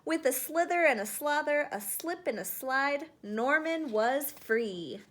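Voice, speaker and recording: A woman reading a children's picture book aloud in an expressive storytelling style. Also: high voice, measured pace. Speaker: storybook reader.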